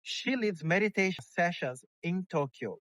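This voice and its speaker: A man's voice: high-pitched, animated, normal speed, a bit bright, no echo. A man hosting a casual interview, asking questions and backing the guest with short affirmations. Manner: encouraging, frequent short affirmations. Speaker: podcast host, interviewer. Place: outdoors while walking.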